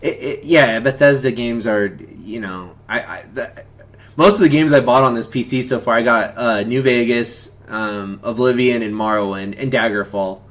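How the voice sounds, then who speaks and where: A man's voice: medium pitch, moderate pace, a bit bright. A man hosting a live stream, chatting in a loose, laid-back and rambling way. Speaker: host of a movie commentary live stream. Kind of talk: casual chat to viewers and caller.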